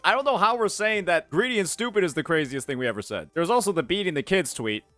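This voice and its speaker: A man's voice: sits high, expressive, fast, bright, forceful tone, no echo, soft background music. Heated, profane male reaction streamer. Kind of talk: angry reaction commentary.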